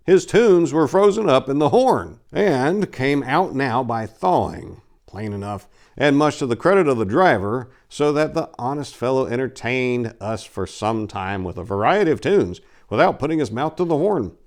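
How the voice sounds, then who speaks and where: A man's voice: mid-range voice, very animated, moderate pace. A man voicing a boastful, gallant aristocratic adventurer, telling tall tales in a grand, theatrical storybook manner. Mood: grand, earnest. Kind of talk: dramatic reading of an old storybook.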